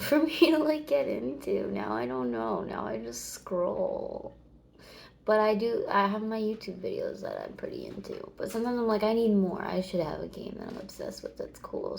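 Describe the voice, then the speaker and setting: Woman in her 30s rambling to the camera, kinda gravelly fry voice, laid back. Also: medium pitch, darkish tone, slight background noise. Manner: chatty, meandering, laughs and swears casually. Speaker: vlogger. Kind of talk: rambling casual chat.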